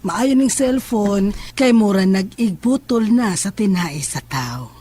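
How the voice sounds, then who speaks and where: A woman playing a menacing, self-assured sorceress in a horror audio drama, speaking in a deliberate, sinister way. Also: mid-range voice, animated, mellow, slightly rough. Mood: gloating. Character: menacing, self-assured female sorceress who casts curses. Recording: music under the voice.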